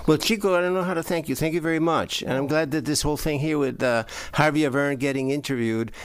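A man hosting a radio interview, relaxed, chiming in with short agreements. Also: fairly high pitch, quick pace, clean tone, no room sound. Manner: laid-back, brief affirmations. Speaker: radio host. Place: in person in New York.

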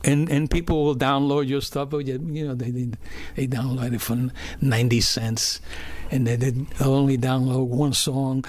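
An older man with a hoarse voice from a cold, talking as an interview guest and often restarting and repeating words. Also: mid-range voice, little pitch movement, moderate pace, few pauses, darkish tone, no room sound. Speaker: musician and art director guest. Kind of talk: conversational interview. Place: in person in New York.

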